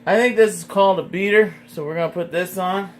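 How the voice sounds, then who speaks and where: A man's voice: high voice, fairly even intonation, a little fast, slight background noise. A man hosting a casual cooking video in a home kitchen, joking and chatty. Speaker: cooking vlog host.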